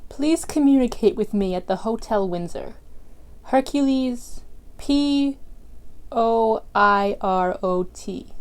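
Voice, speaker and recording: Blunt, high-pitched wisecracking clerk. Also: female voice, slow. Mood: bored, sarcastic. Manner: brash, deadpan, sarcastic. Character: blunt, wisecracking female rental agency clerk. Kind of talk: 1940s radio drama acting.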